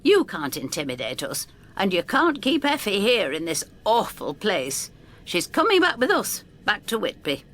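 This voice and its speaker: A woman's voice: mid-range voice, wildly swooping pitch, normal speed, fairly bright tone. An actress playing a blunt, protective older woman who narrates an audio drama in a wry, droll, disapproving manner. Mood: defiant. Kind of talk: first-person dramatised storytelling.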